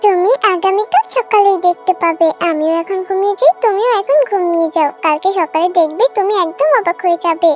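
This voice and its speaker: A woman's voice: extremely high pitch, brisk, few pauses, a little mellow. A woman voicing a kind, innocent little village girl in a children's cartoon, earnest and eager. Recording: music behind the voice. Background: children's music.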